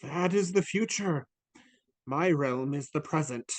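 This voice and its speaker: A man's voice: on the high side, measured pace, no room sound, natural voice. A man voicing a solemn, stern Christmas spirit in a live dramatic reading.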